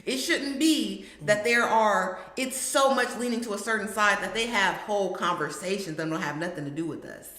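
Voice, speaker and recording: A woman's voice: medium pitch, pushed and bright. A woman co-hosting a hip-hop talk show. She talks bluntly and casually. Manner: blunt, quick agreeing interjections. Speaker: podcast co-host. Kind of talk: casual chat. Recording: roomy echo.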